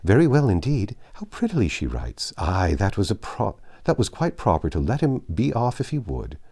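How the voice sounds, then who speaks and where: A man plays a good-hearted older gossip of a matron from a classic novel. The voice is lively and swooping, pushed up above a mellow base, with chatty, emphatic exclamations. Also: on the low side, moderate pace, husky, full character voice. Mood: delighted, approving. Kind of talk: dramatised audiobook character voice.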